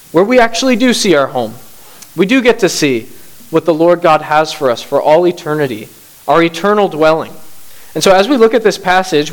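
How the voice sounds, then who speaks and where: Earnest middle-aged male preacher, fairly high voice. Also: rough recording. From Bangladesh. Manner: earnest, rising to emphatic. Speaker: pastor. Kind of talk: sermon with scripture reading and prayer.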